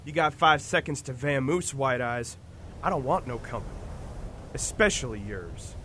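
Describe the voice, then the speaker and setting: A man plays a hot-headed, defiant young hunter in a comic-book audio drama. His voice is sharp, highish and swings widely, full of brash Western slang, over faint noise. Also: animated, moderate pace. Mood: scornful. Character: proud, hot-tempered young Apache hunter, defiant and scornful.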